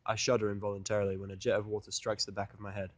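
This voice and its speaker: A man's voice: lowish voice, level tone, very fast, no echo. Man narrating in a dry, close recording. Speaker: fan fiction reader and narrator. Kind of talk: first-person audiodrama narration, conversational and profane.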